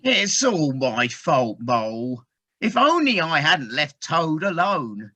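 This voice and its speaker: A woman playing a fretful, dutiful male water rat with earnest, gentlemanly manners in an amateur audio play. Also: low-pitched, sing-song, takes it slowly, no room sound, exaggerated voice. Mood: worried.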